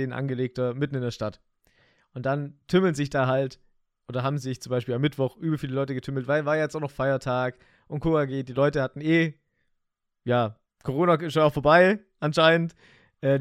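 Young male podcast co-host, slangy and excitable, slightly high voice, dry close sound. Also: clear, resonant tone, no echo. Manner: slangy, excitable, laughs often. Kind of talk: casual chat.

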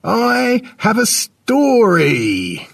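Guy doing an old-time tribe storyteller, slow n dramatic, husky, pitched up, big swoops, audiobook tale. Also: huge pitch swings, fairly bright tone, distant mic, full character voice. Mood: calling out.

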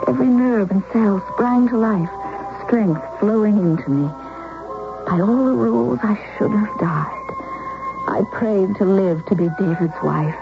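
A woman's voice: mid-range voice, lively intonation, unhurried, vocal fry, phone-quality sound. A woman playing a lovesick, intense young woman who tells of her doomed romance in a dramatic, confessional radio drama style. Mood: wistful, confessional. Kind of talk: old-time radio drama, first-person narration and dialogue. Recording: thin old radio broadcast, music behind.

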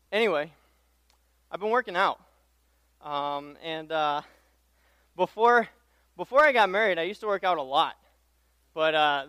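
A young man speaks from the pulpit in a high-pitched, smooth voice, recorded close and dry. His sermon is conversational and self-deprecating, built on personal anecdotes, and he often circles back over his own phrases. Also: pushed and bright, no room sound. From Tanzania. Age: young adult. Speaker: pastoral intern preaching as guest speaker. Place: church pulpit.

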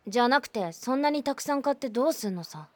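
A woman's voice: on the high side, lively intonation, fast, noisy background, natural voice. A woman playing a blunt, prickly teenage schoolgirl in a voice drama, who speaks curtly and sarcastically. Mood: exasperated. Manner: curt, sarcastic, cold. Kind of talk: voice drama acting.

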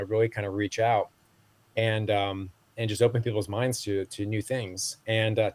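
Low-voiced man rambling through fillers. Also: a little mellow, dry recording. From Southeast Asia. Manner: rambling, many fillers and restarts. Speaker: podcast guest. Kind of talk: casual interview talk.

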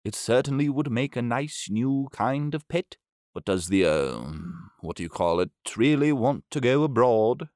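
A man's voice: mid-range voice, animated, unhurried, a little mellow, no echo, clean studio sound, lightly acted. A man voicing a kind, mild-mannered doctor in a storybook reading, hesitant and courteous, often murmuring.

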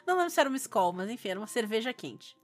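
A woman with a southern Brazilian accent from Rio Grande do Sul, co-hosting a casual podcast and telling anecdotes in animated, slangy speech. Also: a little high, quick pace, soft background music. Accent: southern Brazilian Portuguese from Rio Grande do Sul. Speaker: podcast co-host. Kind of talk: casual chat, storytelling.

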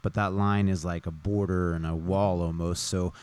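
Chatty male podcast host from Missouri, low smooth voice. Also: little pitch movement, normal speed, a little mellow, dry recording. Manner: upbeat, chatty, lots of "right, right". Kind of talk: casual podcast hosting. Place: barbershop.

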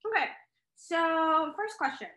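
A woman's voice: extremely high pitch, lively intonation, slow pace, pushed and bright. A young woman co-hosting a casual chat, talking freely with lots of fillers and laughs. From Chinese heritage. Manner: chatty, laughs often, many fillers. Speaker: co-host. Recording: echoey room.

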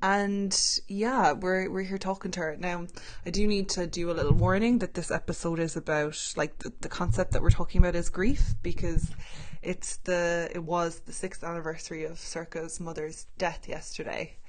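A woman's voice: on the low side, fairly even intonation, moderate pace, hardly any pauses, darkish tone. A woman hosting a podcast, chatting in a rambling, self-mocking way over a video call. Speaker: podcast host. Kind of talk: casual chat. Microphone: own mic over a video call.

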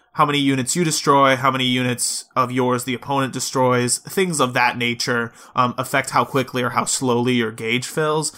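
A man hosting a podcast, casually discussing video games in a measured, explanatory way. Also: mid-range voice, pushed and bright. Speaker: podcast host.

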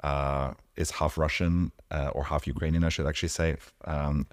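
A man with a very deep, low voice, from Mexico, chatting as an interview guest. He sounds eager and keeps saying "you know". The sound is close and dry, with no room in it. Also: flat delivery, moderate pace, no room sound. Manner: enthusiastic, peppered with "you know". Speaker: guest, coffee subscription owner. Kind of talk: casual conversational interview.

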